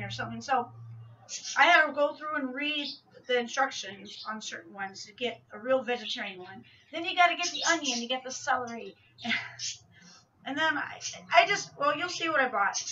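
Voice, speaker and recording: A bright, higher-voiced middle-aged woman rambles in a chatty way through a grocery haul in a small home kitchen. She often corrects herself mid-sentence. Her voice comes from a few feet off the camera mic and sounds a bit dull and lo-fi. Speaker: vlogger. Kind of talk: casual grocery haul vlog. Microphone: camera mic from a few feet away. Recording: distant, dull lo-fi camera audio.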